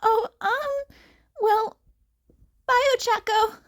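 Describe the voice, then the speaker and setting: A woman's voice: extremely high pitch, steady tone, drawn-out words, pitched above the performer's own voice, exaggerated voice. A woman voicing a flustered, apologetic teenage boy in an audiobook-style story, stammering hesitantly. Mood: flustered, sheepish.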